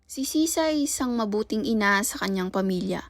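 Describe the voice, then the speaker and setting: Female radio play narrator, medium pitch. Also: expressive, fairly quick, faint music behind.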